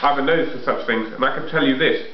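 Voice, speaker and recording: An echoey, slightly dull recording of a knowing storybook dog read by a man, boastful and confident about its nose, in a mid-pitched voice. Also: fast talker, recorded from a distance, lightly acted. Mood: boastful excitement. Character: boastful, knowing treasure-sniffing male dog. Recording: echoey room, muffled home recording.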